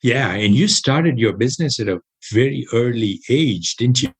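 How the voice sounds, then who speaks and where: A man with an American accent hosting a podcast interview. He sounds upbeat and halting and restarts his questions often. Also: medium pitch, lively intonation, breathy edge. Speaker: podcast host.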